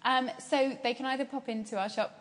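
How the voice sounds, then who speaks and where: A woman with a soft, gentle voice, talking calmly as an interview guest about her business and laughing often. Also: high-pitched, brisk, in a large hall, a little hiss or hum. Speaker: guest, small business owner. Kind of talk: casual interview conversation.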